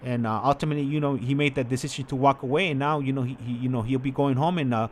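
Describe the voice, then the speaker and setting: An American man shares personal stories in a loose, conversational way. He rambles and circles back, repeating words and leaning on filler phrases as he goes, at a medium pitch. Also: fairly even intonation, racing, a little hiss or hum. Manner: rambling, repetitive, peppered with "like" and "you know". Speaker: online channel host. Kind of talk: casual chat, telling personal stories.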